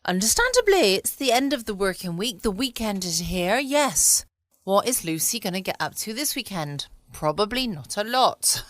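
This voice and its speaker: A southern English woman hosts a casual bedtime podcast. She is chatty, playful and gently self-mocking, at a medium pitch. The home recording is dry, with no room echo. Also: big pitch swings, moderate pace. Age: adult past her twenties. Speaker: podcast host.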